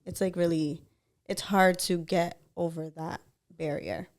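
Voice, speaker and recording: A woman's voice: lowish voice, fairly even intonation, measured pace. A young woman co-hosting a podcast, chatting casually with many fillers and frequent laughs. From United States. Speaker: podcast co-host. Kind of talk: casual chat.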